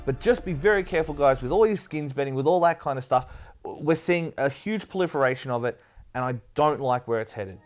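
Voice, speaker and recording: Male host, quick speech, lively intonation, emphatic, critical, faint music behind. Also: medium pitch. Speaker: gaming show host. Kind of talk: opinionated panel discussion.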